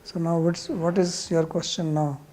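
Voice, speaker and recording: A man with a soft, mellow voice and an Indian accent teaches scripture. He explains bluntly and puts questions back to his students. There is faint noise behind him. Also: a little high, level tone, normal speed. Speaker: spiritual teacher. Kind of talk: scripture class question and answer.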